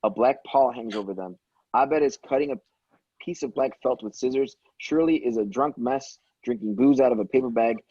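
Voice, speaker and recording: Casting: male stage-directions narrator, relaxed dark tone, dry and slightly lo-fi. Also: mid-range voice, normal speed, breathy edge, no room sound. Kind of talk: scripted narration at a table read.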